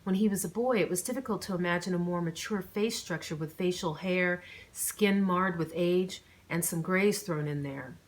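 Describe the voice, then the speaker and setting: A woman's voice: lowish voice, normal speed, few pauses, poor audio. A woman speaking as an author and channel host, with an informal, storytelling way of talking. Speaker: author and channel host reading own novel. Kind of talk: chatty vlog intro, then audiobook narration.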